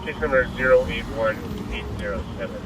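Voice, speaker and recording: Fast clipped dispatcher on a distant radio. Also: male voice, medium pitch, muffled recording, heavy background noise. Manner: rapid, clipped radio codes. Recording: distant police radio speaker in a car.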